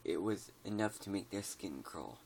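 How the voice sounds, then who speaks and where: A tired man reads a scary story in a casual way, tripping over words and joking about them. His voice is low and gravelly. A faint noise sits under it. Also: expressive, moderate pace, a little mellow.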